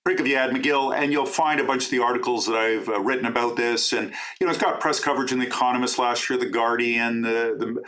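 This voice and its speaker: A middle-aged man from Canada, a professor and author, answering interview questions in a talkative, enthusiastic way with quick asides. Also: mid-range voice, fairly even intonation, fairly bright tone.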